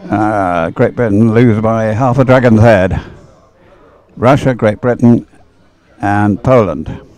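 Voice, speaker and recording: A man's voice: on the low side, slow pace, a little mellow. A man giving live, unscripted sports commentary on a race in an informal, hesitant way, as if thinking aloud.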